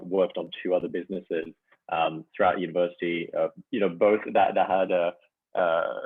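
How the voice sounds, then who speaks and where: Halting young man on a tinny line. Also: low voice, no echo. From France. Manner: halting, full of "you know" fillers. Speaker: startup founder guest. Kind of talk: casual podcast interview. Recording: dry, tinny phone-like call line.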